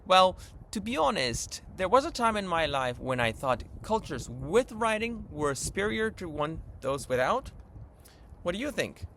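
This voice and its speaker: A man's voice: high-pitched, very animated, unhurried, a bit bright, slight background noise. A man in his thirties with a Japanese accent, hosting a language lesson in an eager, earnest, upbeat way. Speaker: channel host. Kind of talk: enthusiastic vlog-style lesson intro.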